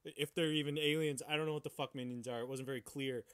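A man co-hosting a podcast in casual chat, excitable and swearing freely as he banters. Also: mid-range voice, fast, clear, resonant tone. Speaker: podcast co-host.

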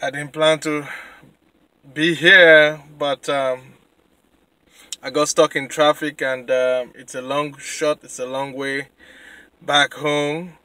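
A man in his thirties with a Nigerian accent, vlogging excitedly from his car at night into a handheld camera. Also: fairly high pitch, takes it slowly, smooth, dry recording. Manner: excited, chatty. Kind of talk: casual vlog. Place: car at night, then city street. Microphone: handheld camera at arm's length.

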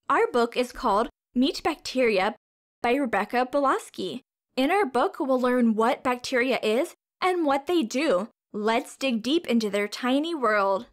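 A woman reading a storybook aloud to young children as a teacher, expressively, with simple questions and explanations. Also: high-pitched.

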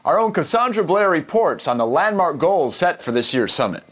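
A man's voice: fairly high pitch, big pitch swings, normal speed, fairly bright tone, slightly rough, exaggerated voice. An actor playing a serious male television news anchor in a game cutscene. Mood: polished. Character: male TV news anchor, polished then gravely shaken. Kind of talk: news broadcast.

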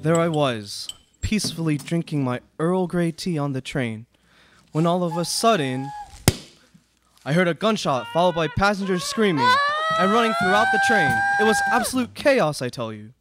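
Young man as wordy, fussy gentleman for radio play, slightly high voice, pompous, faint noise. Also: big pitch swings, normal speed. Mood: formal, dramatic. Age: young adult. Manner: pompous, formal. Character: prim, easily frightened, wordy aristocrat gentleman. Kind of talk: student live radio play reading. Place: small indoor studio. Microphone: close stand microphone.

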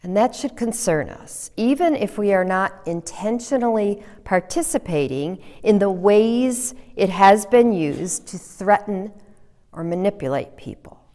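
A middle-aged woman pastor preaching slowly and deliberately, her pitch rising and falling with feeling. Her earnest delivery sometimes sinks to a hushed whisper. The voice rings with a long church reverb. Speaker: Lutheran pastor. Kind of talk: sermon. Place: church chancel, indoors.

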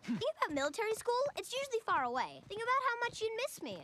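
An actor voicing an excitable, inventive young girl in an animated film, theatrical and precocious. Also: very high voice, fast, a little hiss or hum, heavily acted. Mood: pleading. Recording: film dialogue over loud music.

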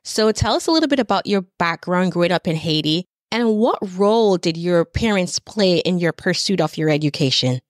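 A woman's voice: on the low side, animated, normal speed, few pauses, no room sound, studio quality. A woman hosting a podcast interview, asking questions in an encouraging, affirming way. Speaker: podcast host.

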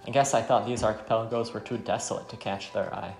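A man's voice: fairly low pitch, fairly even intonation, fast talker, vocal fry, a little mellow. A man voicing a resolute, regretful, war-weary wanderer in a visual novel. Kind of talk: visual novel dialogue read aloud. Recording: roomy sound, faint music.

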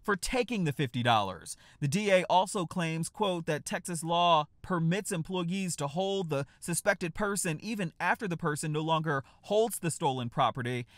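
A man in his thirties presenting a scripted news report from a home office, with a brisk, emphatic delivery. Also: on the high side, fairly bright tone, dry recording, clean studio sound. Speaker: news host.

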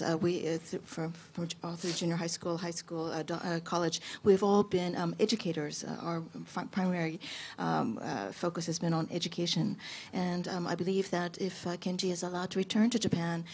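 A woman's voice: lowish voice, steady tone, normal speed, a little mellow, slight background noise. A woman interpreting at a press conference, hesitant, with many fillers as she translates. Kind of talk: consecutive interpretation.

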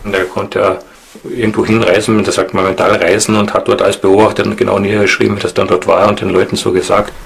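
A man's voice: on the low side, level tone, quick pace, gravelly, slightly rough. A man giving an informal, conversational account in an interview.

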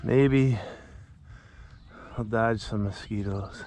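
A man's voice: on the low side, drawn-out words, a little hiss or hum. A middle-aged man with an Upper Midwest American accent, chatting casually to an action camera while fishing from a kayak on a pond. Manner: chatty, talks to fish and deer, excitable. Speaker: fishing vlogger. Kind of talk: casual outdoor commentary. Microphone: action camera mounted on kayak.

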